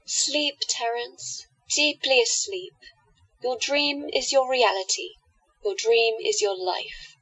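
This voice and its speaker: A woman's voice: fairly high pitch, steady tone, slow pace, fairly bright tone, husky, heavily acted, poor audio. A woman playing a confident, affectionate otherworldly woman with hypnotic powers in an audio drama, commanding yet doting.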